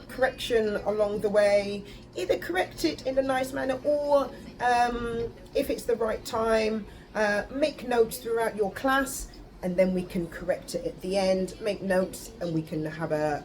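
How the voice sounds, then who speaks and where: A woman's voice: medium pitch, slight background noise, poor audio. A woman in her forties from the United Kingdom, an English teacher introducing herself and answering interview questions unscripted, with fillers and restarts. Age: 40s. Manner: unscripted, with frequent fillers and self-corrections.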